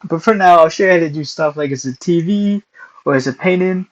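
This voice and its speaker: A young man hosting a casual screen-recorded software tutorial, hoarse and losing his voice, clearing his throat often between steps. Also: a little high, fairly quick, highs cut off. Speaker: tutorial host.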